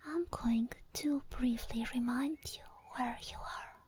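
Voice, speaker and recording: A woman playing an obsessed, teasing and menacing sleep paralysis demon in a whispered ASMR roleplay, speaking deliberately and intimately with drawn-out pauses. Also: fairly high pitch, a little mellow, a little hiss or hum, close to an everyday voice. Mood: gloating, teasing.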